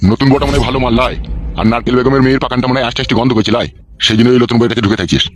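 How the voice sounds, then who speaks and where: Man playing a fast, bossy village politician. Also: mid-range voice, fairly even intonation, crowd noise, natural voice. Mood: angry, scolding. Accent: rural West Bengal. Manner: coarse, hectoring, interrogating. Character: bossy, foul-mouthed male village politician scolding people. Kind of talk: comic cartoon dialogue.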